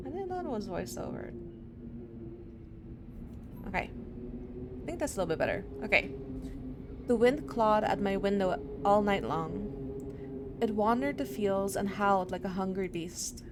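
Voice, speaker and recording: A woman's voice: mid-range voice, sing-song, a little slow, pauses often, noisy background. A woman doing casual let's-play commentary and reading game text aloud, sounding slightly under the weather. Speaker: streamer playing a horror visual novel.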